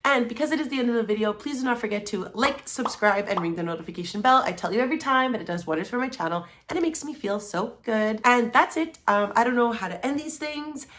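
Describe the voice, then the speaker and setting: A woman's voice: a little high, expressive, fast talker, few pauses, a bit bright, slight background noise. Enthusiastic woman hosting a book vlog. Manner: enthusiastic, informal, slangy. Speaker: book vlogger, channel host. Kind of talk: chatty scripted-and-casual book vlog to camera.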